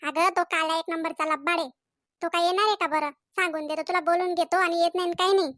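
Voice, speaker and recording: A sharp-tongued young village girl from a cartoon comedy, teasing and calling names in a piercing, very high voice, as a woman puts her on. The recording is dry and close, with no room sound. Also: fairly even intonation, fast, no room sound. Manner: mocking, name-calling.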